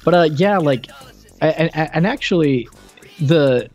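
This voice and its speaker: A man co-hosting a podcast in casual, unscripted chat, with a halting, rambling delivery full of repeated words and stammers. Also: on the high side, animated, darkish tone, soft background music. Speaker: podcast co-host reviewing retro video games.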